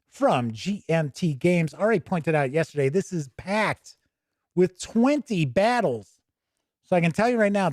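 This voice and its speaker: A man with a fairly high voice hosts a gaming show. He talks in a casual, folksy way with jokey turns of phrase, and the sound is very dry, with no room to it. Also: darkish tone, dry recording. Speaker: YouTube host of a tabletop gaming show. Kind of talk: casual livestream sign-off chat.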